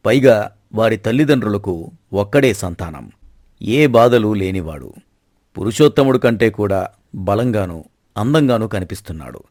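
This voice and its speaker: A stern, middle-aged king played by a man speaks in a low, dry studio voice. He is formal and measured, proud and dismissive, every line delivered as a command in a storybook drama. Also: expressive, a little mellow, no echo. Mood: proud, deliberate. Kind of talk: dramatized moral story acting.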